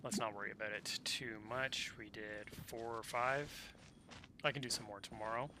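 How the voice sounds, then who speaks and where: A man's voice: medium pitch, steady tone, moderate pace, noisy background. A man giving casual gameplay commentary to viewers, talking through what he is doing. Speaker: game streamer. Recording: loud game music behind voice. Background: loud video game music.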